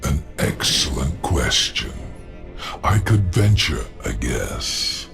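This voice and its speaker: A proud demon lord from a video game, drawling in a gravelly, courtly voice. His grand phrases rise and fall with cunning relish. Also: extremely low pitch, very animated, takes it slowly, fairly bright tone, soft background music, full character voice. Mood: haughty, amused. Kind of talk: video game cutscene acting.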